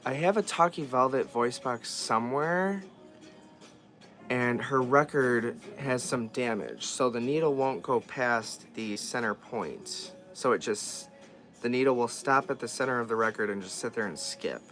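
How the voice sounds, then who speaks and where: A man's voice: mid-range voice, a little slow, a bit bright, soft background music. Man thinking aloud over a repair. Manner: thinks aloud, trailing off and restarting. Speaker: vlogger repairing dolls. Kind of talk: casual chat while working.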